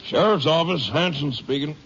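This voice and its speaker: An actor playing an alarmed small-town sheriff taking a phone call in a 1940s radio drama. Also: male voice, fairly high pitch, normal speed, background noise, lightly acted. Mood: friendly. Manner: startled, urgent on the phone. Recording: muffled old radio transcription.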